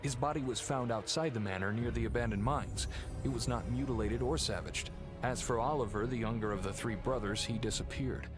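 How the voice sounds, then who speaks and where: An actor playing a polite, serious gentleman heir in a video game, explaining things in a courteous, earnest way. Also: mid-range voice, fairly quick, music in the background, lightly acted. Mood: grave. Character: Sir Henry, polite, serious male heir of cursed family. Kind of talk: video game dialogue acting.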